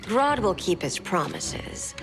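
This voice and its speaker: A woman playing a vengeful, plant-loving villainess in a video game, with haughty, deliberate delivery. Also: mid-range voice, sing-song, music in the background. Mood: cool, threatening.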